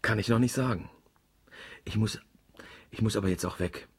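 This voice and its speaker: Husky, self-assured male scientist. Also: on the low side, moderate pace. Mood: analytical. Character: confident, womanizing marine scientist, father and teasing friend. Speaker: scientist examining animal carcasses. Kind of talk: German radio drama dialogue.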